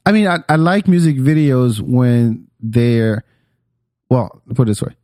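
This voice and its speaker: A man's voice: mid-range voice, animated, a little slow, darkish tone, no room sound, studio quality. A man hosting a podcast in casual chat, opinionated and slangy, swearing freely. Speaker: podcast host.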